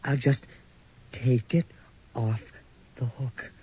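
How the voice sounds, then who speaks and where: Jittery young college guy, slow halting talk, mellow voice, old radio sound. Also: mid-range voice, steady tone, husky. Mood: frightened. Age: young adult. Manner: hesitant, stammering, growing frantic. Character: anxious young male student. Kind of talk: radio drama acting. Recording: dull old radio broadcast.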